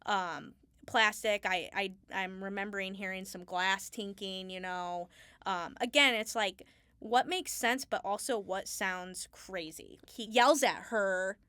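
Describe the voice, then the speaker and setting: A woman with a clear, smooth voice talks casually as a podcast guest. She thinks aloud informally, and the recording is dry and close. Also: medium pitch, unhurried, bright, forceful tone, dry recording. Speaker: Foley artist, podcast guest. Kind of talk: casual interview chat.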